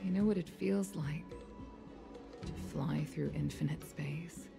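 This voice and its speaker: A woman's voice: low-pitched, unhurried, creaky, music in the background, exaggerated voice. An actress voicing a stern, dignified, weary mother in a video game, speaking formally and with measure. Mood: sorrowful.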